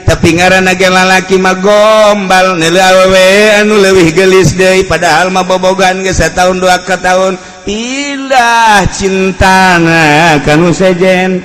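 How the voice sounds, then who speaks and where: Male preacher, Sundanese accent, fairly high voice, theatrical and humorous storyteller, recorded in a reverberant hall. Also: fairly even intonation, unhurried, fairly bright tone, faint music behind. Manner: theatrical, mock-romantic recitation between colloquial asides. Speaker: Islamic preacher. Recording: echoey hall with long reverb.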